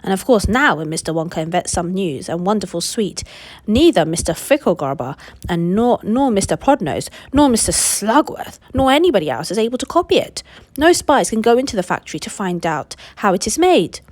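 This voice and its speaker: A woman voicing an old grandfather who tells a tale in a steady, confiding way, in a storybook reading. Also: medium pitch, a little fast.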